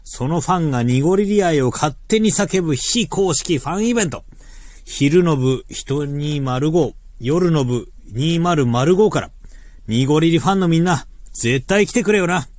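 High-voiced man reading an event promo. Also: moderate pace, dry recording. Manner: energetic, rallying shouts to fans. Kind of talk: scripted promotional ad read.

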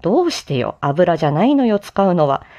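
A woman reciting a theatrical Japanese-style sales-pitch monologue in a declamatory, sing-song way with playful touches. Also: very animated, fairly quick, warm tone. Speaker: amateur voice drama performer, streamer. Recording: slightly dull lo-fi microphone sound.

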